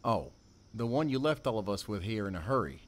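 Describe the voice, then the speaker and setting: A man playing a sardonic, wounded ex-convict with a soft heart in an emotional audio drama. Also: fairly low pitch, animated, a little fast, lightly acted. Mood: wry, reproachful.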